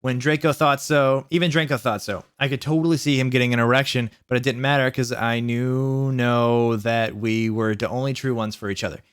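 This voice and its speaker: A man's voice: medium pitch, steady tone, brisk, hardly any pauses, fairly bright tone, clean tone, dry recording, clean studio sound. A man hosting a comic dramatic reading of fan fiction, mock-earnest and sarcastic in his asides.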